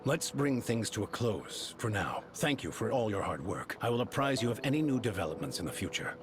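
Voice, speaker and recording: An actor playing a composed, authoritative male scientist in a video game, explaining grim matters in formal, measured, articulate speech. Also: lowish voice, very animated. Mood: stern, regretful. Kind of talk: scripted game dialogue, formal exposition. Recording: game music behind the voice.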